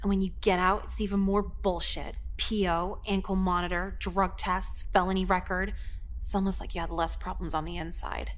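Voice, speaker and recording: A young woman with an American accent playing a cynical, self-absorbed high-school girl in a visual novel, talking in a casual, slangy, sardonic way. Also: level tone, moderate pace, slight background noise, natural voice. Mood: bitter, weary. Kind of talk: visual novel voice acting, first-person monologue.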